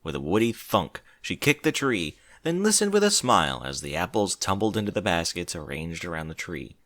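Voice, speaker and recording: A man reading a story aloud as narrator, in an expressive scripted style. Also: low-pitched, moderate pace, dry recording. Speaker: fan fiction narrator.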